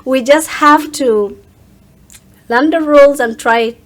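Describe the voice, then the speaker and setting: Higher-pitched woman in casual conversation. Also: takes it slowly. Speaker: interview guest.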